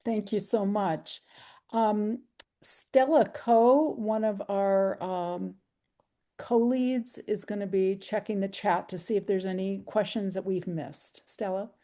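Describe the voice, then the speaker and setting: A woman hosting a virtual forum on a video call, handing over in a slow, hesitant way. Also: medium pitch, dark tone. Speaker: forum host.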